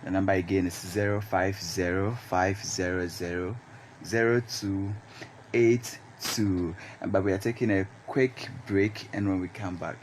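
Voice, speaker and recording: Ghanaian guy hosting radio talk, low voice, measured, some noise behind him. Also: steady tone. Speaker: radio host. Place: radio studio.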